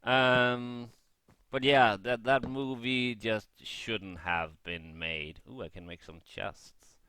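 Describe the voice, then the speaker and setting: A man's voice: mid-range voice, fairly even intonation, slow, pushed and bright, clean tone, dry recording. A man giving casual gameplay commentary, rambling and thinking aloud as he shares blunt opinions. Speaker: gaming vlogger.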